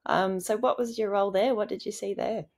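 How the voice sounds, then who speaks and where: A woman's voice: medium pitch, steady tone, fairly quick, vocal fry, a little mellow. A woman speaking as a podcast co-host, introducing and questioning a guest.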